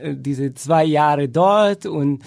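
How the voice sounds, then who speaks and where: A man from Italy speaking German with an Italian accent, a cellist talking about his path, pausing often with fillers. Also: on the high side, gravelly, a little mellow. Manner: hesitant, many fillers. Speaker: cellist and festival founder. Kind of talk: casual podcast conversation.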